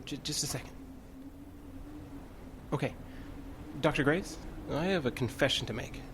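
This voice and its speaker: Actor playing a nervous young schemer, lightish voice. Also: male voice, fairly high pitch, normal speed, background noise, lightly acted. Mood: businesslike. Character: scheming, nervous young radio station ghost hunter. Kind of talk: comic radio drama acting.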